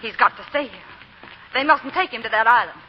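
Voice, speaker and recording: An actress playing a passionate, commanding young Southern belle in a 1940s radio melodrama. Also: female voice, fairly high pitch, expressive, fairly quick, a little hiss or hum, natural voice. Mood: urgent. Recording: muffled 1940 radio broadcast.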